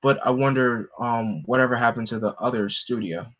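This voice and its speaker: Deep-voiced young man, rambling stream chat. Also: moderate pace, a bit bright. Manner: rambling, lots of "like" and "you know"; reads out and answers chat. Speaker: livestream host. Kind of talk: casual chat. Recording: dull lo-fi stream audio.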